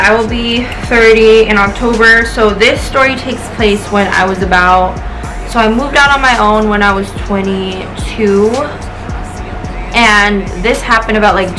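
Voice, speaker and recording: An American woman in her late twenties chats casually as a vlogger. She rambles through a personal story with slang and swearing, at an ordinary pitch, with music clearly playing behind her. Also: rough recording.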